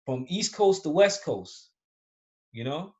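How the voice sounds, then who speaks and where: A man's voice: on the high side, lively intonation, slow pace. An American man in a casual conversation who rambles, restarts and trails off. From United States. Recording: dull lo-fi sound.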